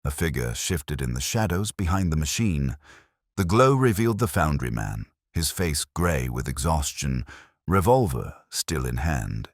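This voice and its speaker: A man narrates a dramatic tale in an extremely deep voice. His delivery is grave and measured but moves expressively in pitch, and the sound is dry and close. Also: sing-song, dry recording. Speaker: audiobook narrator. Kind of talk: scripted dramatic story narration.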